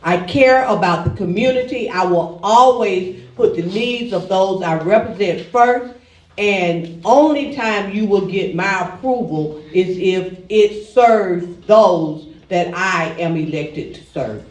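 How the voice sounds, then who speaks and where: A man answering as a candidate at a public forum, measured and deliberate. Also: high voice. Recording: echoey hall from far off.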